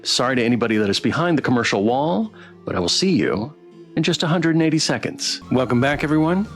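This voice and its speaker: Expressive man streaming a game over music. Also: medium pitch, fast talker. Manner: appreciative, courteous to viewers. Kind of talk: livestream playthrough, chatting with viewers. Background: background music.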